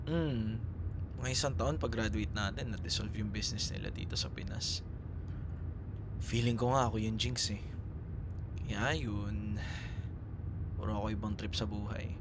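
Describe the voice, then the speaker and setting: A man's voice: fairly low pitch, steady tone, unhurried, pauses often. A man playing a chatty, teasing young ex-boyfriend in a casual, conversational roleplay. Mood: subdued, reflective. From Philippines. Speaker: voice actor. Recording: clear background noise, car ambience.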